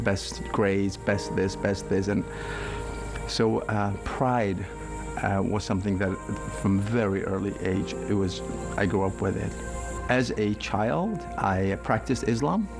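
Low gravelly man from northern Iraq, measured testimony over music. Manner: reflective, measured storytelling. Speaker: testimony speaker. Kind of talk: personal testimony. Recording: music bed behind voice.

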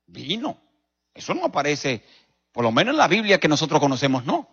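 A man with a Dominican accent preaching a sermon as a pastor, telling stories emphatically with rhetorical questions and dramatic pauses. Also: a little high, huge pitch swings, fairly bright tone, breathy edge. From Dominican Republic. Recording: dull recording in a reverberant hall.

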